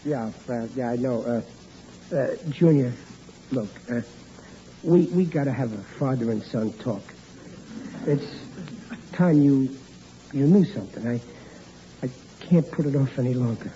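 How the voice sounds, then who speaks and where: A man's voice: mid-range voice, unhurried, long pauses, dark tone, lightly acted. An actor playing a blustering, stammering father who is scheming and flustered, in 1940s radio comedy style. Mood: sheepish scheming. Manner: stammering, repeats words, exasperated bluster. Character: bumbling, blustering sitcom dad, scheming and flustered. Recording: dull, noisy vintage radio recording.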